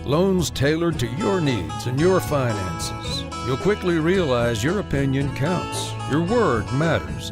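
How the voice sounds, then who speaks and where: A man reading a scripted radio advertisement for a bank as the announcer. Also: mid-range voice. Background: guitar music.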